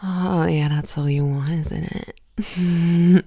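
A woman whispering softly and teasingly in a possessive, intimate audio roleplay, laughing often, close to the microphone. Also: lowish voice, fairly even intonation, drawn-out words, breathy edge, no echo.

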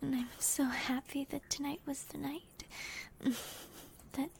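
A young woman speaking in a slow, breathy whisper in an intimate audio roleplay. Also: sits high, flat delivery, slight background noise.

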